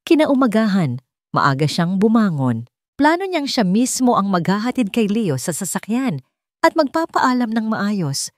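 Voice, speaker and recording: A woman's voice: medium pitch, sing-song, a little slow, no room sound, studio quality. A woman with a Filipino accent reading a scripted dramatic story as its narrator.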